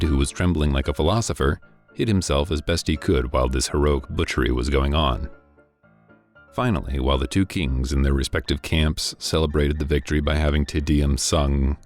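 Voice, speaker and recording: A man with an extremely low, deep voice narrates a classic novel in a measured, dry manner. Faint music plays softly behind him. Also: steady tone. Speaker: audiobook reader. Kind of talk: scripted narration.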